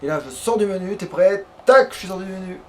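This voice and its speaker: A man's voice: high-pitched, animated, quick pace, darkish tone. A man giving casual, informal, sweary commentary while playing a video game. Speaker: let's player playing a fantasy game. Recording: distant mic, faint room noise.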